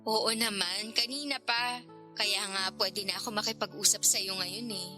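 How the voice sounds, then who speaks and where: A woman's voice: medium pitch, steady tone, normal speed, bright, forceful tone, faint music behind, natural voice. A woman playing a flirty, teasing married mother in a conversational radio drama, with a playful, coy delivery. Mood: curious, coaxing. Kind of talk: Tagalog radio drama dialogue.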